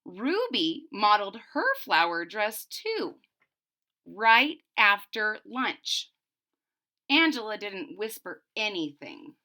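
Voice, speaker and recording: A woman's voice: mid-range voice, sing-song, slow pace, bright, forceful tone. A woman reading a children's storybook aloud expressively and explaining it to young listeners in asides. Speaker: storybook reader.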